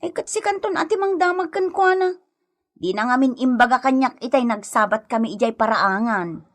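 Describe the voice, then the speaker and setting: Casting: woman for nagging wife of a gambler, high-pitched and exasperated, radio comedy. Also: animated, moderate pace, close to an everyday voice. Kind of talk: Ilocano comedy radio drama acting.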